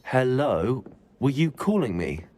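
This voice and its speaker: A man's voice: medium pitch, big pitch swings, takes it slowly, a little mellow, soft background music. A man playing a polite, confident teenage wizard student in a video game, with a British accent and formal wording. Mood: curious, polite. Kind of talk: video game cutscene acting.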